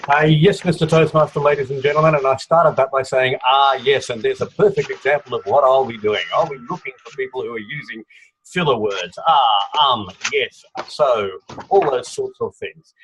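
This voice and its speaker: A man's voice: mid-range voice, moderate pace, a bit bright, slight background noise, rough recording. A man explaining his filler-counting role at an online meeting, formal and wry. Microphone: video call.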